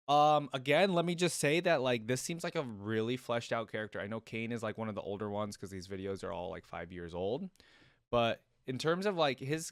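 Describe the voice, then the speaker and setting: A man's voice: mid-range voice, dry recording, studio quality. A man hosting a reaction video, talking in a casual, enthusiastic and rambling way.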